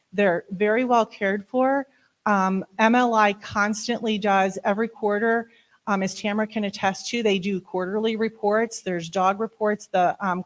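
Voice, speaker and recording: Middle-aged woman, loud and chatty, informal audience talk on a handheld mic, medium pitch. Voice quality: loud speaking voice. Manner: chatty, many "um" fillers. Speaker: dog handler giving a library talk. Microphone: handheld microphone.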